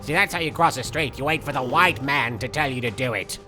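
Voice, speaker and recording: A man voicing an excitable, vain cartoon villain, giving theatrical, petulant, jokey commentary on a video game. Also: mid-range voice, fairly quick, music in the background, natural voice. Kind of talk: in-character let's play commentary.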